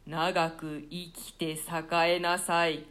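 A woman voicing the ghost of an old murdered king in a radio play reading, speaking slowly and solemnly. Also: sits low, flat delivery, a bit bright. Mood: blessing. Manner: slow, solemn delivery.

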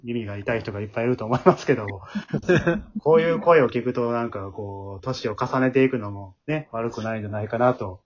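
A man's voice: mid-range voice, fairly quick. A man hosting a casual after-show talk, chattily echoing and summing up what others say. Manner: chatty, echoes and sums up others' answers. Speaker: host and leader of an amateur drama troupe. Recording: slightly dull, lo-fi podcast sound.

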